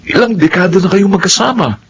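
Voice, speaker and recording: A man playing an exasperated, dismissive middle-aged Filipino husband in a radio drama, with a husky voice. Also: high voice, a little fast. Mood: exasperated, placating. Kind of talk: Philippine radio drama acting.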